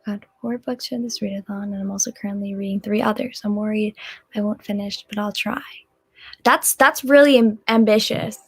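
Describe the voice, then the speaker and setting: A woman chatting easily as co-host of a book livestream, agreeable and relaxed. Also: medium pitch, fairly quick, slightly rough. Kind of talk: casual chat.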